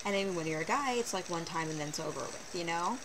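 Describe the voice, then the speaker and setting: Teen girl vlogger, lowish voice, animated and sarcastic, bedroom webcam with audible hiss. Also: fast. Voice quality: fairly low voice for a woman. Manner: chatty, animated, sarcastic asides. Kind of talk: casual webcam vlog. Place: small bedroom, indoors. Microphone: built-in webcam mic. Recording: noisy low-quality webcam recording.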